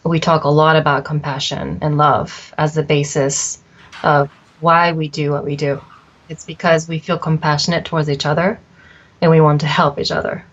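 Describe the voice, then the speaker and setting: A middle-aged woman from Japan, an arts agency founder, answering interview questions in a thoughtful, measured way with frequent pauses. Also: deep voice, little pitch movement, muffled recording.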